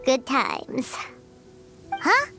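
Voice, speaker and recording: A woman's voice: high voice, wildly swooping pitch, drawn-out words, vocal fry, sharp tone, soft background music, full character voice. A woman playing a small, childlike fairy companion in a video game, curious, chirpy and exclamatory. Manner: refers to self in third person, exclamatory.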